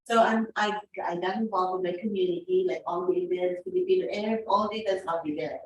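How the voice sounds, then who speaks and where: A woman's voice: on the low side, fairly even intonation, brisk, dull audio. A woman whose first language is Tagalog, eagerly sharing her experience in a class discussion. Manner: eager, loosely phrased. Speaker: student agent. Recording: distant echoey classroom with faint noise.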